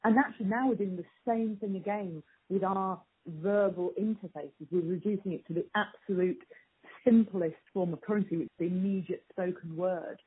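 A very husky, breathy female voice comes through a narrow, phone-like connection. She answers interview questions informally, with candid emphasis, as a marketing expert. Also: medium pitch, fairly even intonation, normal speed. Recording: thin phone-like line.

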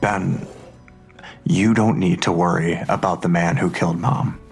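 An actor playing a worried, protective father in an audio drama, husky and earnest, reassuring but insistent. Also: low-pitched, fairly even intonation, measured pace, faint music behind, full character voice. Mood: firm, reassuring.

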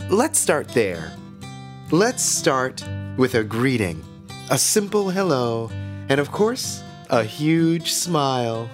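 An actor playing a relentlessly cheerful, eerie small-town radio host in a scripted monologue, theatrical and drawn-out, with a smile in his delivery. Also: medium pitch. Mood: gleefully cheerful. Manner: theatrical, smiling, deliberately drawn-out. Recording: music behind the voice.

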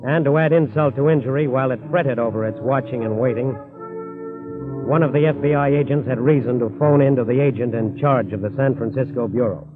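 A man's voice: mid-range voice, brisk, lightly acted. A man narrating a 1940s radio crime drama in a solemn, emphatic, dramatic style. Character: grave, dramatic male documentary crime narrator. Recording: tinny 1940s radio recording. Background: music.

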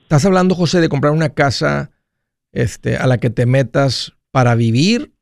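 Gravelly man, dry close sound. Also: medium pitch, animated, normal speed, a little mellow, no room sound. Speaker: host of a personal finance call-in show. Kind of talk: conversational call-in advice.

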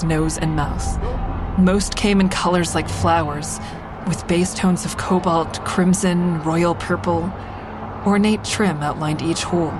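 An actress narrating as a woman who survived a train attack, telling it in a measured, reflective first person. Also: fairly low pitch, close to an everyday voice. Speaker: lead narrator of the drama. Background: music underneath.

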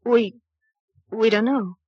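A woman's voice: on the high side, slow pace, no echo, lo-fi sound. An actress playing an anxious, defiant young working woman in a melodramatic old-time radio drama. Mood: nervous, earnest. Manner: excitable, emphatic.

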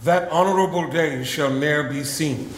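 A man's voice: fairly high pitch, a little slow, slight background noise, lightly acted. Grave bishop played by a man, echoing hall. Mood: solemn. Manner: solemn, eulogizing. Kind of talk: Shakespearean radio drama acting.

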